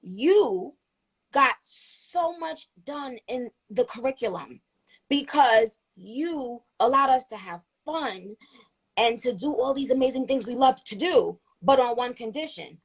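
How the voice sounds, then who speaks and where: A woman in her thirties from Trinidad chats on a phone livestream, earnest and rambling, her thoughts trailing into restarts. Her voice sits fairly high but has a rough, husky grain with plenty of fry. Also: lively intonation, slow, low-quality recording. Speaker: livestream host. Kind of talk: casual chat on a livestream.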